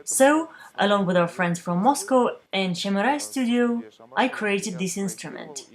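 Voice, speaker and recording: A woman's voice: mid-range voice, expressive, measured pace, low-quality recording. Woman reading a news voice-over translation. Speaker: voice-over interpreter for a news feature.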